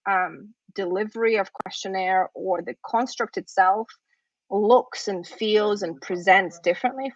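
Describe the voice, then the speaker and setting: A woman's voice: mid-range voice, dry recording. Woman lecturing, dull lo-fi call audio. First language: Russian. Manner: conversational, checks in with "right?". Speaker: workshop presenter. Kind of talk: academic lecture. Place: remote video talk. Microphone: computer microphone on a video call. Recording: dull, lo-fi online conference audio.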